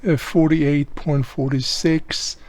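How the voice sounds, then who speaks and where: A man's voice: on the high side, fairly even intonation, takes it slowly, a little mellow. Older man chatting through a radio repair. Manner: chatty, thinking aloud while working. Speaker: electronics repair hobbyist. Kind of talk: casual repair tutorial. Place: home workshop room.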